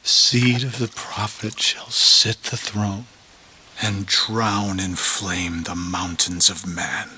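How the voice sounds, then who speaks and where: An actor playing an elderly, zealous prophet villain in a video game, preacherly and deliberate, with grandiose menace. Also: male voice, low-pitched, little pitch movement, sharp tone, a little hiss or hum, heavily acted, low-quality recording. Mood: solemn prophecy. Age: older. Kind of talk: dramatic video game voice acting.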